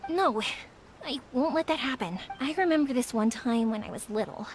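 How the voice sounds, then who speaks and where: An actress playing a warm-hearted, determined young woman in a video game, speaking in an expressive, earnest way. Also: sits high, normal speed, a little hiss or hum, natural voice. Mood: wistful, determined. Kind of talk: English video game voice acting.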